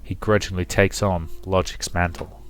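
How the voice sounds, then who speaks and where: Low-voiced man narrating theatrically over music. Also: little pitch movement, moderate pace. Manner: rhythmic, theatrical reading. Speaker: let's play host. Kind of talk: dramatic narration of visual novel, with commentary.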